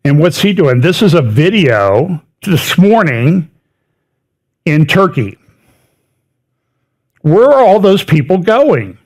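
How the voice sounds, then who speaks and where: A man's voice: a little high, very animated, slow pace, pauses often, darkish tone. A middle-aged man giving a conversational lecture over slides, stressing words by repeating them and dropping in wry asides. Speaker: speaker giving a prophecy update talk.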